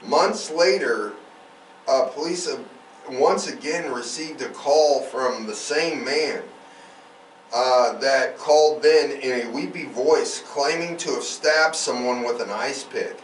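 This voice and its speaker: A man's voice: mid-range voice, takes it slowly. A man hosting a true crime podcast, reading out case notes with irreverent, sweary, casual asides. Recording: roomy, distant mic, faint background noise.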